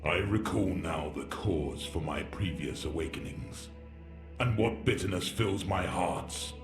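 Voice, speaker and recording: Ancient war-machine warrior, low, grandiose, grumbling, weary, echoing, music underneath. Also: male voice, a little slow. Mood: bitter, mournful. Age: older. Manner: grandiose, archaic, rambling, grumbling. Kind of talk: dramatic sci-fi audio drama monologue. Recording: echoing voice over background music.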